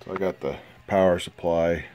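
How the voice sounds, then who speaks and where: A man giving a casual, halting, low-key progress report on a repair, recorded on a phone at a workbench. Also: fairly even intonation, a little mellow, highs cut off, faint music behind. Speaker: hobbyist radio restorer. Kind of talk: casual narrated progress video. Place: indoors at an electronics workbench. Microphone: handheld phone.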